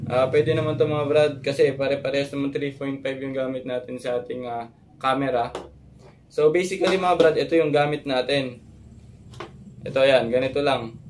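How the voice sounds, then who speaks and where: A man's voice: medium pitch, a little mellow. A young man giving a casual vlog tutorial, explaining informally with some hesitation. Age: young adult. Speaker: motovlogger and host. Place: small concrete-walled room with computer setup. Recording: noisy room recording.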